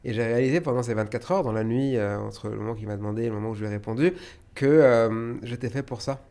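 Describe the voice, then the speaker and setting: A man's voice: mid-range voice, fast, warm tone. A man from France, born in Tunisia, speaking emphatically and conversationally as an interview guest, telling stories. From born in Tunisia, grew up in France. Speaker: podcast guest, hotelier.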